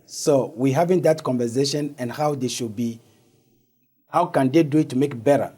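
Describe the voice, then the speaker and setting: A man with a West African accent, a native Ewe speaker, answering haltingly as an interview guest. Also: medium pitch, warm tone, slightly rough. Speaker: interview guest, organization founder. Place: studio.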